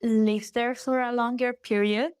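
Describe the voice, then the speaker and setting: Casting: young Galician woman, Spanish accent, high-ish voice, lively guest, dry close sound. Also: measured pace, clean tone, no echo. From Galicia, Spain. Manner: animated, laughs often, restarts her sentences. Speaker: guest singer. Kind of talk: casual interview chat.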